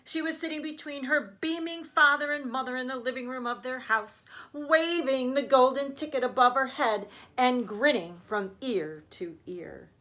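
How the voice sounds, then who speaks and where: A woman's voice: high-pitched, normal speed, few pauses, a bit bright. A woman reading a children's story aloud as a teacher would, with emphatic storytelling phrasing.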